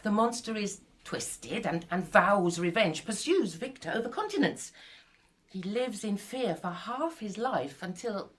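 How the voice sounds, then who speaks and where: An actress playing an intense, weary young woman writer in a live radio drama, telling her tale dramatically with a husky voice. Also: medium pitch, animated, measured pace. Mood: grave, intense.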